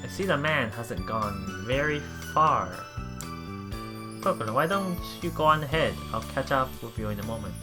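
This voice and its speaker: Halting low-voiced man reading a visual novel. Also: animated, over loud music. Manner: halting, stumbles and repeats words while reading. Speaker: let's play host. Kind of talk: reading visual novel text aloud with casual asides.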